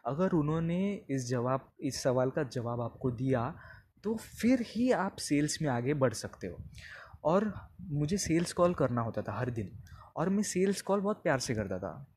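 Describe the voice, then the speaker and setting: A man's voice: fairly high pitch, sing-song, measured pace, a little mellow, a little hiss or hum. A man hosting a podcast, telling a comedic personal story conversationally and slipping between languages. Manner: conversational, mixes Hindi with English phrases.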